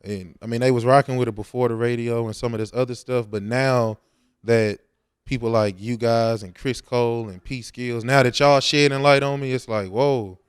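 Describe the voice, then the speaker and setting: A man's voice: mid-range voice, steady tone, normal speed, smooth, dry recording, studio quality. A young man, talking casually and slangily as a rapper in an interview. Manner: informal, slangy.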